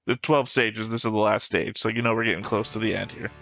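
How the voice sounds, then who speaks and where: Man giving casual commentary over loud music. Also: lowish voice, fairly even intonation, fast, vocal fry. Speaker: reaction show host. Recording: music playing behind the voice.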